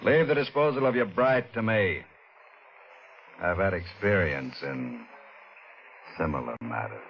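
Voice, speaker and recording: A silky sinister schemer. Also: male voice, lively intonation, measured pace. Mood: menacing. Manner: silky, menacing, drops to a low murmur. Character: smooth sinister older male schemer. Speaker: scheming villain. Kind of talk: 1940s radio acting. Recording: dull noisy old radio recording.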